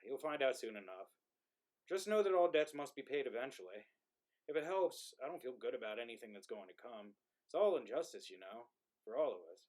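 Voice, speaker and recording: A man's voice: mid-range voice, sing-song, fairly quick, a little mellow, lightly acted. A man playing a dead soldier's ghost in a play reading, wry and resentful, with a conversational, needling delivery. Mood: ominous. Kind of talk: play reading, modern drama.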